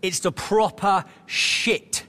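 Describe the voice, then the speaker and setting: An actor playing a cocky, laid-back club promoter in a video game, with an English accent and slangy patter. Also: male voice, high-pitched, slow, pushed and bright, full character voice. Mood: confident, scheming. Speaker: DJ booker. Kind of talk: video game cutscene acting.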